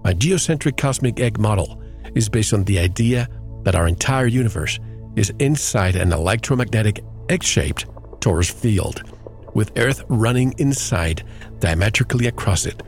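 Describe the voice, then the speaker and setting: Earnest lower-voiced male radio host. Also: lively intonation, moderate pace, faint music behind. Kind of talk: scripted radio introduction and interview.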